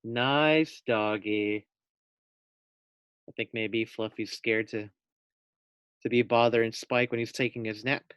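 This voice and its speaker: Young teacher, male, reads to kids, gentle and steady. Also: mid-range voice, smooth, dry recording. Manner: gentle, unhurried, with friendly asides. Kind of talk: reading a picture book aloud to first graders.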